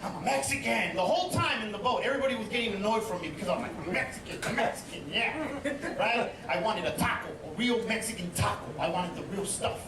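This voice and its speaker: A man's voice: sits high, expressive, fast talker. A man from East Los Angeles tells a stand-up story in a chatty, rambling way, often turning to the crowd. He sounds distant in an echoey live room. Heavy crowd laughter and noise sit behind him. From East Los Angeles, USA. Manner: chatty storytelling, many restarts and asides to the crowd. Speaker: stand-up comedian. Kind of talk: stand-up comedy set. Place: comedy stage on a cruise ship. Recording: echoey live venue from far off. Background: loud audience laughter and noise.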